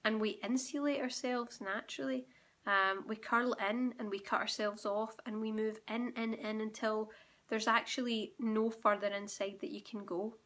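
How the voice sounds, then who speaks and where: A woman with a croaky, sore-throated voice, gently explaining spiritual ideas straight to her viewers while talking at home. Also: on the high side, fairly even intonation, moderate pace. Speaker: spiritual awakening guide and channel host. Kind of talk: direct-to-camera teaching monologue.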